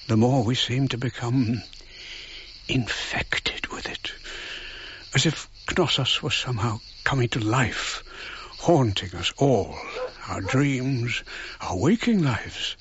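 Old archaeologist narrator, haunted, really slow broken phrases, muffled radio play w music. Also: male voice, medium pitch. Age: older. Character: old English archaeologist, haunted, wistfully recalling his past. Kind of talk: dramatic reflective radio narration. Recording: dull radio recording, prominent music behind.

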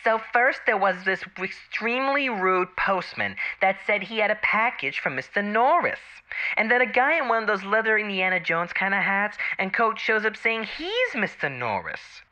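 A woman voicing an excitable mare receptionist who rambles casually through a dramatic eyewitness account in a fan audio drama. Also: mid-range voice, moderate pace. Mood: indignant, rattled. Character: excitable peach-colored pony mare receptionist, chatty eyewitness. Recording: dull lo-fi radio-like sound.

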